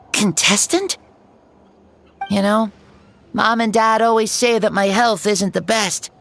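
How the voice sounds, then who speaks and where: A woman voicing a frail, earnest child in a video game, speaking in a halting, wondering way. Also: medium pitch, gravelly, fairly bright tone.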